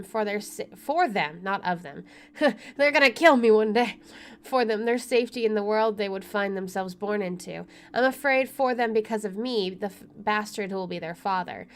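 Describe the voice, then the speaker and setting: A woman doing a let's play, reading story text aloud and adding wry, chatty commentary. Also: mid-range voice. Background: game music.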